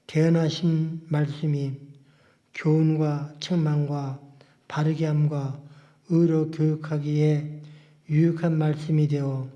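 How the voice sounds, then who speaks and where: Slow, even-toned man in solemn prayer. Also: fairly high pitch, highs cut off. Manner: solemn, reverent, measured phrasing. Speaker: congregant leading the representative prayer at church. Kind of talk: formal public prayer. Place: church service.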